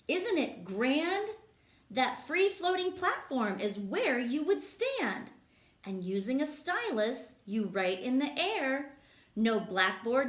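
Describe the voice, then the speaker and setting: A woman's voice: high-pitched, a bit bright, pitched above the performer's own voice, heavily acted. A woman voicing an imaginative young boy who describes his dream school in bouncy, sing-song rhymes. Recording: echoey room.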